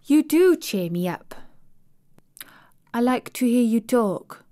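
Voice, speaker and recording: A prim, sheltered young girl, played by a woman reading aloud, speaking in a proper, careful way. She sounds curious and a little sharp, pitched above a plain reading voice. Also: sing-song, slow. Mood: soft.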